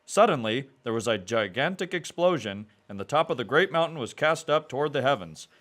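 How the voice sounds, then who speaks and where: A man's voice: expressive, brisk, a bit bright. Dramatic radio serial narrator, man. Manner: dramatic, booming announcer. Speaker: narrator and announcer.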